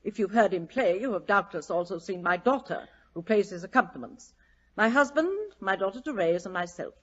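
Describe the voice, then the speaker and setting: A woman's voice: medium pitch, animated, fairly quick, fairly bright tone. An actress playing a vain, imperious, portly middle-aged society lady, grand and melodramatic, in an old-time radio drama. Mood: haughty. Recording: dull old radio broadcast recording.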